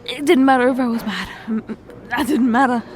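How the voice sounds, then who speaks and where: A woman performing an emotional ASMR roleplay confession, tearful and halting, repeating words and breaking off. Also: fairly high pitch, a crowd in the background. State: tearful, crying.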